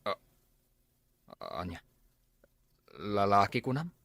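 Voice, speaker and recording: A man with an Ilocano accent playing a shiftless, grumbling drinker and father in a radio drama, gruff and argumentative. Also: lowish voice, wildly swooping pitch, slow, a bit bright, no room sound, clean studio sound, full character voice. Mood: irritated, evasive.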